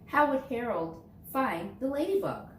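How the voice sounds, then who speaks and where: A woman reads a picture book aloud to young children in an expressive way, dramatizing key words. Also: fairly high pitch, measured pace. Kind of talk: storybook read-aloud. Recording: roomy echo, distant mic, faint noise.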